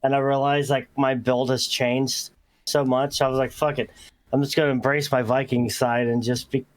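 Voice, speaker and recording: A young man co-hosting a casual podcast, relaxed and explaining things at length, often restarting his sentences. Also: mid-range voice, normal speed. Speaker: podcast co-host. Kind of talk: casual chat.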